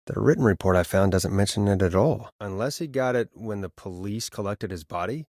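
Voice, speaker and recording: A man's voice: on the low side, a little fast, darkish tone, no room sound, natural voice. Casting: male actor for uneasy radio host playing detective in a video game, conversational, wry, close dry recording. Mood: puzzled, investigative. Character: male late-night radio DJ turned uneasy amateur sleuth. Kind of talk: naturalistic video game voice acting.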